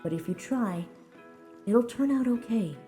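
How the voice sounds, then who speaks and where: A woman voicing a gentle, sensible female pony who reassures a friend in an earnest, measured, encouraging way in a story reading. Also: on the high side, expressive, faint music behind. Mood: gently encouraging.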